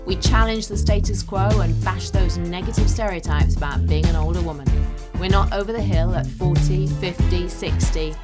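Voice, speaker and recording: A woman's voice: on the low side, normal speed, loud music behind the voice. A woman hosting a podcast, reading an upbeat scripted intro and then chatting with her guest. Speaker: podcast host.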